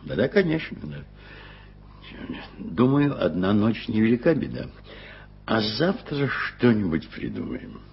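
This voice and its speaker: An older actor playing a soft-hearted, flustered old journalist in a radio play, stammering good-naturedly. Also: male voice, on the low side, huge pitch swings, measured pace, creaky, pauses often, husky, full character voice. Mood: kindly. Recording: dull lo-fi radio play recording.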